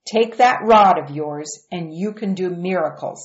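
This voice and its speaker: A calm, commanding divine voice gives firm, reassuring instructions, as a woman reader puts it on. The delivery is slow and measured, on a dull, muffled recording. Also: on the low side, natural voice. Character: God, calm patient commanding voice.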